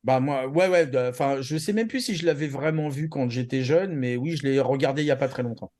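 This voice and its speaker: A man co-hosting a show, chatting casually and giving opinions in slangy, halting speech. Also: mid-range voice, a little mellow. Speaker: co-host of an anime news show. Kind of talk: casual opinionated news chat.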